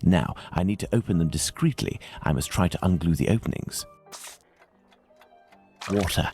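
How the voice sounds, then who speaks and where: A self-assured English gentleman detective in a video game. His voice is very low but moves with lively intonation, formal and deliberate as he reasons, with music underneath. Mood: pondering. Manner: deliberate, formal, deductive phrasing. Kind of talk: scripted video game acting.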